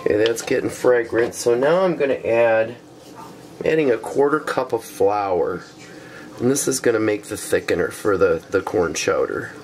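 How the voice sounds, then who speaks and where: A man with a cold, hoarse and stuffy, walking through a recipe step by step in a relaxed way with frequent ums. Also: on the low side, moderate pace. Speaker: cooking show host. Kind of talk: casual cooking demonstration. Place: small home kitchen.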